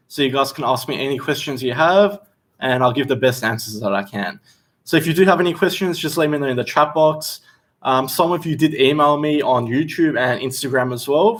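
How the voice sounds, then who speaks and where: A young Australian man, a chef, hosting a casual livestream Q&A while seated, reading out viewer questions and answering in a relaxed, chatty way. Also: medium pitch. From Western Australia. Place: seated indoors.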